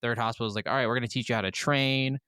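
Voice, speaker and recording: A man's voice: medium pitch, fairly even intonation, very fast, sharp tone, clean tone, no room sound, studio quality. A man co-hosting a podcast, chatting casually about video games. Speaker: podcast co-host.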